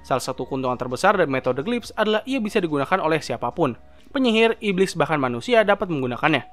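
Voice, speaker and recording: A young man narrates an explainer in a high-set, very lively voice. He moves along quickly and keeps the tone informal and chatty, like a host talking to viewers. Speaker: video essay host. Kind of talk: scripted explainer narration with casual asides. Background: background music.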